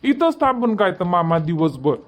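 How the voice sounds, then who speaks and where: A man's voice: high-pitched, very animated, normal speed. A man voicing a stubborn, pleading little village boy in a cartoon comedy, insistent, with a Varhadi Marathi accent.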